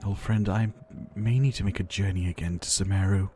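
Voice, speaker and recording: A man playing a gentle, ailing pharmacist doctor in an ASMR roleplay, soft-spoken and theatrical, with courteous, wistful phrasing and sighs. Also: low voice, steady tone, fairly quick, slight background noise, close to an everyday voice. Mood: weary, wistful.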